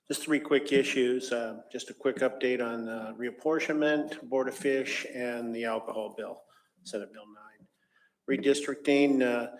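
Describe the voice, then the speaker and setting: A man working as a legislative liaison, giving a matter-of-fact briefing with fillers. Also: mid-range voice.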